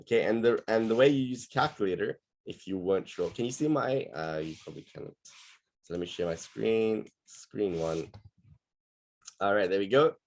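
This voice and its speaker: A man teaching maths on an online class call, his voice going hoarse, explaining patiently step by step. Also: lowish voice, moderate pace, darkish tone.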